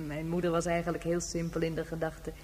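An actress playing a very old, gentle woman who reflects and reminisces in a measured way, in a science fiction radio drama. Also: sits low, completely flat delivery, natural voice. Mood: reminiscing. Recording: hollow long reverb, humming background noise.

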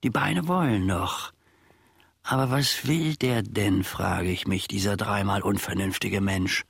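An actor playing a self-important, grumbling old manservant and former soldier in a German radio play, musing theatrically in a husky, gravelly voice. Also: male voice, lowish voice, unhurried, a bit bright, dry recording, exaggerated voice. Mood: wistful, musing. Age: older.

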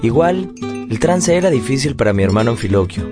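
A man acting as a grown son who narrates his family's story in measured, literary radio style. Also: mid-range voice, very animated, close to an everyday voice. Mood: storytelling. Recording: music under narration.

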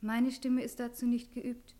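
A woman's voice: on the high side, completely flat delivery, unhurried, mellow, close to an everyday voice. An actress playing a very young, guarded and pert village inn girl in a radio play, giving pointed replies. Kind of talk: German radio play dialogue. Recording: echoey room, faint music behind.

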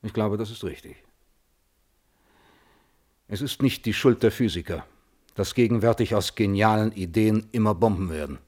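A man acting as a thoughtful, scrupulous scientist in a German radio play, testifying in a measured, carefully worded way. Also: on the low side, darkish tone, lightly acted. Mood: reflective. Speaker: witness under questioning.

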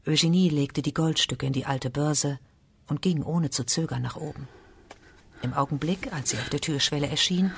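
A woman's voice: sits low, normal speed, husky. A woman narrating a literary radio drama as a storyteller.

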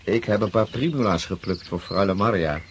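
A man's voice: on the low side, brisk, a little hiss or hum, lightly acted. An actor playing a respectful, chatty, folksy coachman serving a nobleman in a radio drama. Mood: amazed, folksy.

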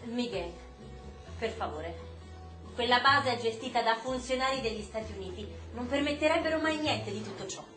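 An actress as an indignant, patriotic American reporter in a radio play, her voice high, insistent and defensive. She sounds set in a roomy space over faint music. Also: normal speed, natural voice. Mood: incredulous. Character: Ellen, stubborn patriotic American woman journalist, grieving and indignant. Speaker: radio drama actor. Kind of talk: Italian theatrical radio drama dialogue. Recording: roomy stage-like echo.

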